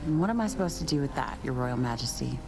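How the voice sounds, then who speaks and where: A woman's voice: very deep voice, animated, quick pace, vocal fry, over loud music, full character voice. A woman playing a skeptical, easygoing dead shade in a video game, talking in a casual, folksy, sarcastic way. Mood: wry, skeptical. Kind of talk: video game character dialogue.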